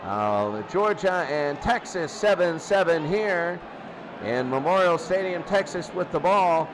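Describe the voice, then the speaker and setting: A man calling simulated football games as a play-by-play announcer, his voice jumping up and getting loud on big plays. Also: fairly high pitch, unhurried, background noise. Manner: excitable, voice jumps up and gets loud on big plays. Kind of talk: simulated radio play-by-play commentary.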